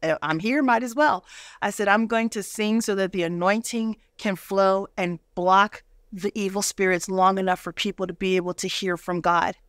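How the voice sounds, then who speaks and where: A woman's voice: medium pitch, moderate pace, a bit bright, dry recording. A middle-aged American woman telling personal stories emphatically in a studio interview, acting out the dialogue she quotes. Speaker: guest. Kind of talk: animated personal storytelling in a TV interview. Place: indoor TV studio.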